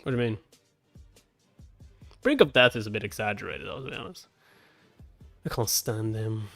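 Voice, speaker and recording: A man's voice: lowish voice, lively intonation, normal speed, vocal fry, a bit bright, soft background music. A man reading a webtoon aloud and reacting casually, exclaiming and swearing with mocking disbelief. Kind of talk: casual live reaction and read-along.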